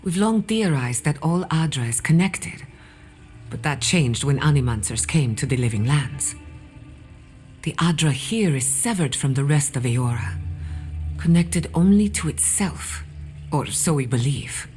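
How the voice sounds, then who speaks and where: A low-pitched woman's voice plays an earnest animancer researcher in a fantasy game. She is scholarly and resolute, with music playing underneath. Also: animated, measured pace, a little mellow. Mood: earnest, troubled. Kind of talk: video game cutscene acting.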